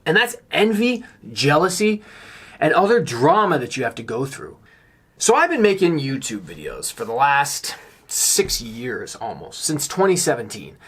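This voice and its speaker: Slightly hoarse, higher-pitched man, confessional. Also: wildly swooping pitch, a little slow, a bit bright. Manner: earnest, confessional, emphatic. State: slightly hoarse, under the weather. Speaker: YouTuber on real estate investing. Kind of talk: candid personal vlog monologue.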